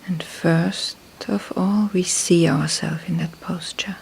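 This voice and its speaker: A woman leading a guided meditation in a soft, breathy near-whisper, calm and unhurried, with long pauses between short phrases. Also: lowish voice, a little hiss or hum. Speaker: meditation teacher. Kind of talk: slow guided meditation instruction.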